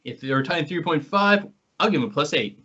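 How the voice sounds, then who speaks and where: A man's voice: a little high, expressive, a little fast, bright, forceful tone. A man hosting a podcast and talking casually with the others. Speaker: podcast host and paranormal investigator. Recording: slightly muffled, lo-fi audio.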